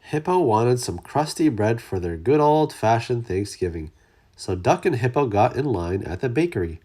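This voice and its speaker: Animated storybook reader with a husky voice. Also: mid-range voice, normal speed. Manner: expressive, dramatic emphasis. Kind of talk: read-aloud children's story narration.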